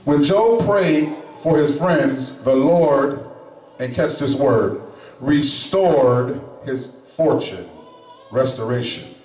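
Middle-aged male lay preacher, slow deliberate delivery, roomy chapel sound from a distant camera. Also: mid-range voice, lively intonation, a little mellow. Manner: slow, emphatic preaching with pauses. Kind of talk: sermon. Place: small chapel, indoors. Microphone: handheld microphone. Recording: echoey room, camera at a distance.

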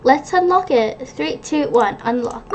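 A woman's voice: high voice, lively intonation, a little slow. A woman giving casual gameplay commentary as a gaming YouTuber. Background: game music.

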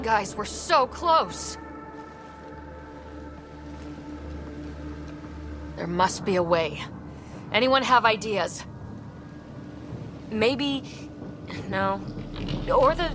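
A woman playing a young, determined squad leader in a sci-fi video game, with an informal, wry, plain-spoken manner. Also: mid-range voice. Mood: frustrated, searching. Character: young, determined, wry female space explorer leading a squad. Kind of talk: video game cutscene acting.

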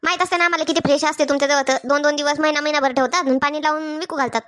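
Cartoon village woman, actress: very high and sharp, bossy, blunt haggler. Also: fairly even intonation, rapid-fire. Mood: demanding. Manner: blunt, demanding, colloquial. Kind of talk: Marathi comedy cartoon dialogue.

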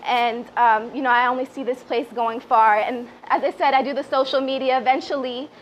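A woman's voice: high voice, steady tone, brisk. A woman in her twenties from the Washington DC area, born in Peru, giving an earnest, slightly hesitant personal speech into a handheld microphone at a small event. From born in Peru, grew up in the Washington DC area. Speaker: public relations director giving a speech. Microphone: handheld microphone, lapel mic also worn. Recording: muffled, noisy live PA recording.

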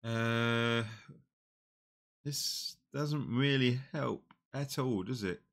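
A man in his forties with a slightly nasal voice from a cold, quietly talking through a mobile puzzle game on a headset. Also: mid-range voice. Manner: slow, muttering play-by-play, often trailing off. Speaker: gaming channel host. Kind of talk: casual mobile game commentary. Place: small room indoors.

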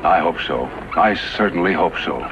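Deep-voiced, dignified reverend. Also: male voice, lively intonation, normal speed. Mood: thoughtful. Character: gentle, dignified reverend, father of grown daughter. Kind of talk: 1950s radio western acting. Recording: very noisy, dull 1950s radio recording.

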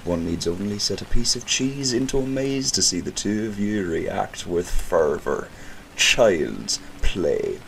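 A man's voice: fairly low pitch, lively intonation, takes it slowly, vocal fry, a bit bright, slight background noise, exaggerated voice, rough recording. A man playing a cold, gloating criminal mastermind in an audio drama, mocking, theatrical and condescending. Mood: gloating, menacing.

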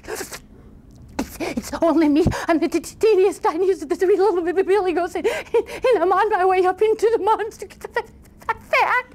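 Woman as a squeaky stammering little billy goat. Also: fairly quick. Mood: terrified, pleading. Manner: stammering, trembling, pleading. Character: tiny timid frightened young male billy goat.

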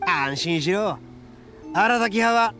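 A male game voice actor playing a brash, boastful young red oni with a cocky, rough, theatrical way of talking and a gravelly voice. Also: high-pitched, animated, slow pace, music in the background. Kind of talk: Japanese anime game voice acting.

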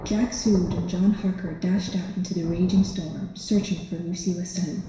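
A woman's voice: fairly low pitch, fairly even intonation, moderate pace. A woman giving solemn, literary narration for a dramatic radio play. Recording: dull, very echoey, noisy background.

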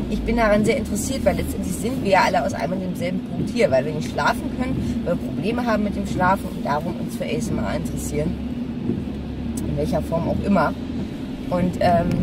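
A middle-aged woman chatting casually in a vlog while driving, explaining things directly to the viewer. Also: medium pitch. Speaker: vlogger. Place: inside a small car, driving. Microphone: dashboard-mounted camera. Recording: distant mic, loud car noise. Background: car and road noise.

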